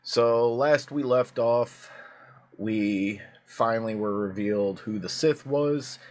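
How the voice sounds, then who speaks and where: A man hosting a casual video reaction, his voice hoarse and prone to cracking, talking in a chatty, rambling way with plenty of fillers. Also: on the low side, a little mellow. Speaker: YouTube reaction host.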